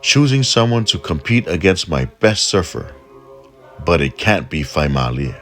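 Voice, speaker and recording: A man's voice: low-pitched, very animated, measured pace, a bit bright, faint music behind, pitched above the performer's own voice, exaggerated voice. A man voicing a commanding underworld goddess. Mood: scornful. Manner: imperious, threatening commands. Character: powerful, commanding, scornful female goddess of the underworld.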